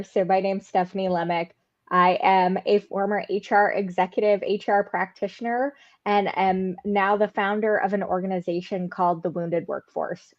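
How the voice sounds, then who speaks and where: A woman's voice: mid-range voice, darkish tone, no room sound. Earnest woman on a dull video call. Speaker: HR founder, panelist. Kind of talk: online panel discussion.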